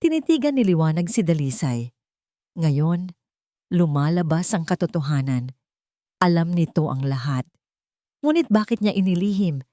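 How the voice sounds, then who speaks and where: Filipino woman narrating a dramatic love story, deep soft-toned voice, really dry sound. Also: big pitch swings, moderate pace, pauses often, no echo. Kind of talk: dramatic scripted romance narration.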